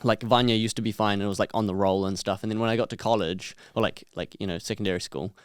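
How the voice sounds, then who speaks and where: Young New man, easygoing guest, low smooth voice, dry close sound. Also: fairly even intonation, fast, dry recording. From New Zealand. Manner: easygoing, hesitant, lots of fillers. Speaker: podcast guest. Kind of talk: casual chat.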